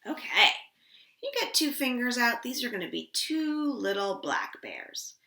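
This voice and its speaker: A woman telling stories to young children in an expressive, playful way, with drawn-out emphasis, recorded indoors at home. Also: fairly high pitch. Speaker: children's storyteller at a library storytime. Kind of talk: animated storytelling for young children. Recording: echoey room.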